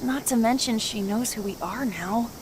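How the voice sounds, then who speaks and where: A woman's voice: a little high, normal speed, noisy background, natural voice. A woman playing a candid, uneasy teenage girl in an English game dub. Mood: uneasy, doubtful. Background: music.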